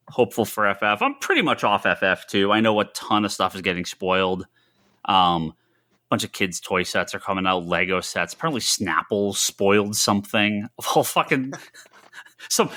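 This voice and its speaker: Low, bright-voiced man, halting and rambling. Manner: halting, rambling, thinking aloud. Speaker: podcast co-host. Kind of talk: casual chat about comics and films.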